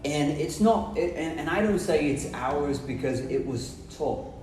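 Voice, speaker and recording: A man's voice: a little high, lively intonation, a little slow, darkish tone, roomy sound. Guy explaining stuff on a podcast, far from the mic, music behind. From Brazil. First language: Portuguese. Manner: emphatic, explanatory, speaks in short repeated phrases. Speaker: English teacher. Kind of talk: podcast conversation.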